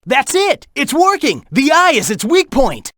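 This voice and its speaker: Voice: high-pitched, huge pitch swings, measured pace, a bit bright, no echo, clean studio sound, exaggerated voice. An actor voicing a cocky, upbeat young male hedgehog hero in a video game, brash and playful, calling out with urgency. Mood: encouraging, excited. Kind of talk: animated video game voice acting.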